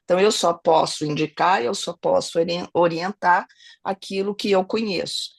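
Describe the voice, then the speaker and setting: A middle-aged Brazilian woman with a hoarse, gravelly voice that sits a little low. She talks earnestly on a video call as a family lawyer and mediator, circling back, restarting phrases and checking in with tag questions. The sound is dry and close. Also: normal speed, dry recording. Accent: Brazilian Portuguese. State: hoarse, has a cold. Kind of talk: online webinar talk.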